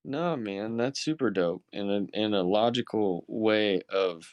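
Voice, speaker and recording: A man's voice: lowish voice, measured pace, clean tone, no echo, muffled recording. A man hosting a podcast in a casual, laid-back way, with slangy phrasing and free swearing. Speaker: podcast host. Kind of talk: casual chat, slangy interviewing.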